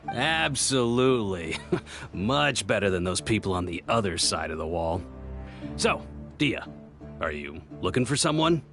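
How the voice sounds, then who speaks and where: Sly, swooping-voiced desert schemer. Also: male voice, on the low side, expressive, a little slow, music in the background. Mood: jovial. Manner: taunting, chuckling, theatrical. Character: sly, mocking male desert tavern schemer, falsely friendly. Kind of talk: anime-style video game voice acting.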